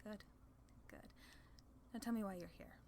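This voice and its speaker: A woman's voice: medium pitch, fairly even intonation, unhurried, vocal fry, mellow, slight background noise. A woman playing a haughty, sly female demon lord in an ASMR roleplay, condescending and playfully theatrical. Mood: imperious.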